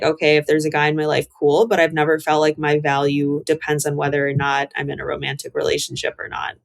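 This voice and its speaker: A young woman with an American accent and a low-pitched voice. She speaks as a podcast guest in a casual, candid style, reflective and conversational, with plenty of informal phrasing. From Chicago, United States. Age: young adult.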